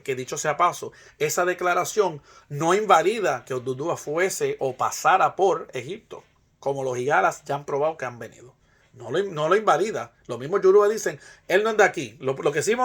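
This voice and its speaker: Male presenter, Puerto Rican accent, slightly high voice, arguing a case informally with emphatic, rhetorical delivery. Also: a bit bright. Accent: Puerto Rican Spanish. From Puerto Rico. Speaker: presenter, Ifa practitioner. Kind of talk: argumentative informal lecture.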